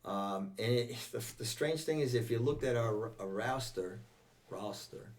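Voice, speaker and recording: A man's voice: mid-range voice, unhurried, far from the mic. An older man with an American accent chatting casually as an interview guest, often repeating and reworking his phrases. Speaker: interview guest, veteran volunteer firefighter. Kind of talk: casual reminiscing chat.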